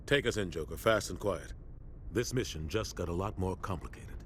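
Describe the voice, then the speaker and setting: Terse, commanding starship captain. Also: male voice, deep voice, expressive, moderate pace, fairly bright tone, slight background noise. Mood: grave, commanding. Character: stern veteran male warship captain in science fiction. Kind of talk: video game cutscene acting.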